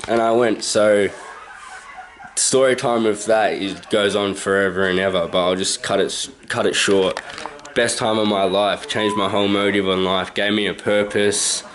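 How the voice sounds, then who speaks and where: Aussie guy in his 20s chatting away to his camcorder at home, kinda low voice, keeps correcting himself and chuckling. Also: fairly even intonation, moderate pace, a bit bright, a little hiss or hum. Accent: Australian. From Australia. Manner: rambling, self-correcting, chuckles often. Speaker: vlogger. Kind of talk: casual story-time monologue. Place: his own house, indoors. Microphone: handheld camcorder.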